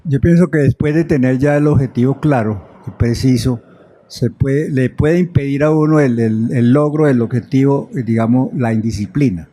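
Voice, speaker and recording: Hombre del público, habla entrecortado. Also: male voice, mid-range voice, mellow, husky. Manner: halting, searching for words. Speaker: workshop participant.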